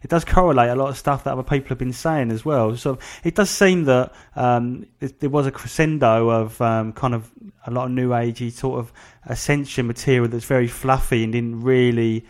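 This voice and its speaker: Casual male interviewer, medium pitch. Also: fairly even intonation, a little fast, few pauses. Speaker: interview host.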